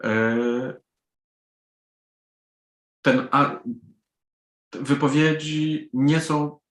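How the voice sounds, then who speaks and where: A man from Poland, a native Polish speaker, answering as an author in a panel interview, deliberately and with pauses mid-sentence. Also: on the high side, fairly even intonation, a bit bright. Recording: echoey room sound.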